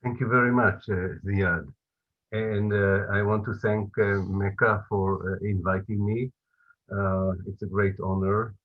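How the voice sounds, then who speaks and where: A man speaking as a guest on a webinar, thanking his hosts slowly and hesitantly with many fillers. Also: lowish voice, fairly even intonation. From Israel. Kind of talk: opening remarks on a webinar. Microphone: video call. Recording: tinny video-call audio.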